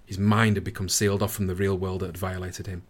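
A man's voice: sits low, little pitch movement, fast. A man playing a concerned army officer in the trenches in a radio play. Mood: grave.

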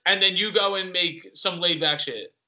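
A man interviews a guest on a podcast. His voice is very bright, sharp and fairly high, and he talks in a loose, profane, rambling way. The audio sounds dull and lo-fi. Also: moderate pace. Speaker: podcast host. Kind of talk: casual interview chat.

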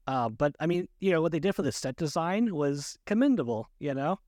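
A man's voice: fairly high pitch, lively intonation, brisk, darkish tone, no room sound, clean studio sound. A jocular man co-hosting a casual movie chat, rambling along and often amused. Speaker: podcast co-host.

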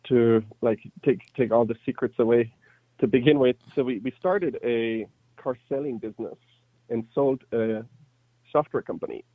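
A man in his thirties with a light German accent chats as an interview guest, telling stories in a casual, conversational way. His medium-pitched voice comes through narrow and phone-like, with a dry sound and no room echo. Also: moderate pace, breathy edge. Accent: slight German. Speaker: interview guest, startup entrepreneur.

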